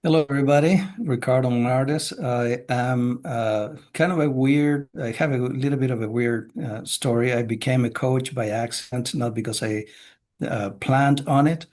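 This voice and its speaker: Middle-aged Chilean-born man, measured podcast guest. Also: medium pitch, steady tone, darkish tone. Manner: measured, frequent "uh" fillers. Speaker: guest, business coach. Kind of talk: casual podcast interview.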